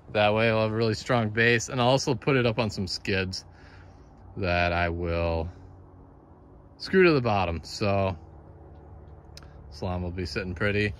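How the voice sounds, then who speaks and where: Low-voiced man casually explaining his carving. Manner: relaxed, matter-of-fact explaining. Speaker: chainsaw carver. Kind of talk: casual workshop vlog narration. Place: outdoor yard by a workshop.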